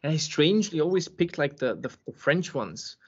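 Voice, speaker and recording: A man, hosting a podcast interview over a video call and pausing often with ums and restarts. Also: a little high, lively intonation, breathy edge. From Serbia. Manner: hesitant, many ums and restarts. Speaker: podcast host. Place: home room with bookshelf. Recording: muffled video-call audio.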